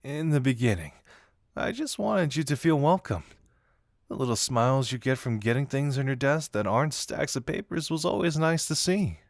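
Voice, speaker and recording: A man's voice: medium pitch, animated, normal speed, gravelly, no room sound, clean studio sound. A man acting a flirty, self-deprecating detective who shyly confesses as a secret admirer in a romantic audio roleplay, teasing yet earnest and hesitant.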